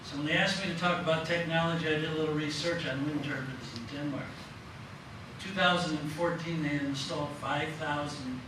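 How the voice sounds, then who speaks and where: Loud-voiced man in an echoey room. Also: fairly high pitch, steady tone, brisk, hardly any pauses. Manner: loud, plain and matter-of-fact. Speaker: panelist. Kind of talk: informal talk to an audience. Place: lecture room. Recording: echoey room from a distant mic, noisy.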